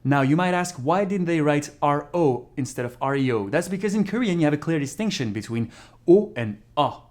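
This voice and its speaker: A man's voice: fairly high pitch, expressive, a little fast, darkish tone. A man who is a non-native English speaker giving a casual, frank video lesson on learning a language. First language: not English. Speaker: language teacher YouTuber.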